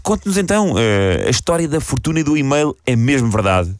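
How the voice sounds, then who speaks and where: A man hosting a comedy segment on a morning radio show, interviewing in a quick, mock-solemn way. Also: medium pitch, sing-song, no echo, studio quality. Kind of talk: comic interview.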